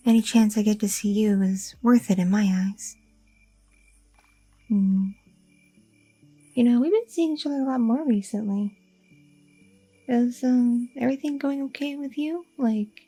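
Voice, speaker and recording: A woman's voice: mid-range voice, creaky, warm tone, husky, no room sound, poor audio. A woman playing a rambling, overthinking goth girlfriend. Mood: chatty. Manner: rambling, self-doubting, talks through her worries. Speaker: ASMR roleplay performer. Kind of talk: ASMR audio roleplay.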